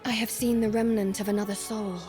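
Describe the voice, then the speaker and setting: A woman's voice: medium pitch, flat delivery, a little fast, music in the background, natural voice. A woman playing a dutiful, resolute young valkyrie in a fantasy video game, formal, composed and earnest.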